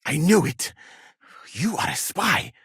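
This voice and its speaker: A man playing a learned, courteous medieval traveller from Mali in a video game, speaking in a formal, measured and articulate way. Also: on the high side, big pitch swings, gravelly, a bit bright, breathy edge, no room sound, clean studio sound, full character voice. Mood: startled, emphatic. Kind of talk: dramatic game dialogue.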